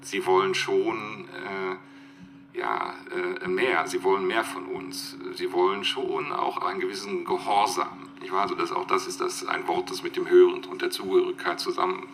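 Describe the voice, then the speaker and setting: Male speaker, very low pitch, heavy creak, halting reflective talk, faint noise. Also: steady tone, a bit bright. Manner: halting, searching for words. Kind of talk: spontaneous intellectual talk.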